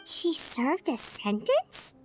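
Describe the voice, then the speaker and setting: Super high squeaky little fairy sidekick from a game, childlike and chatty, faint music behind. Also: female voice, huge pitch swings, slow, full character voice, poor audio. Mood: curious. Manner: third-person self-reference, bubbly and blunt. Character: small, childlike, chatty female fairy companion. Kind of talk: video game character dialogue.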